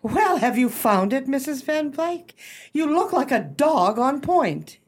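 Woman acting a haughty, animated society hostess. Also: sits high, measured pace. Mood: imperious. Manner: pompous, precise, formal diction. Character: haughty society hostess, bluffing book club leader. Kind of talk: radio play acting.

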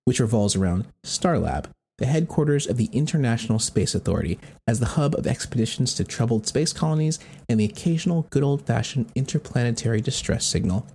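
A man's voice: mid-range voice, expressive, brisk, hardly any pauses, darkish tone, husky. An American man hosting a podcast, reading scripted narration. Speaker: podcast host.

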